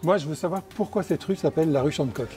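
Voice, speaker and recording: A man's voice: a little high, fast, warm tone. A man casually questioning passers-by on the street as a presenter, with a playful, teasing manner. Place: outdoors in a town street.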